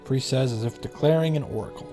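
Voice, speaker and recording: A man's voice: medium pitch, fast. Man reading story text aloud over music. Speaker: streamer playing a visual novel. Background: game music.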